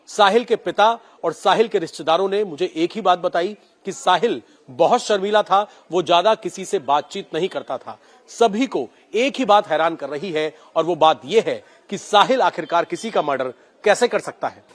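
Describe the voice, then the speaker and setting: A man's voice: high-pitched, expressive, moderate pace. A man working as a news reporter, explaining a case in narrated report style.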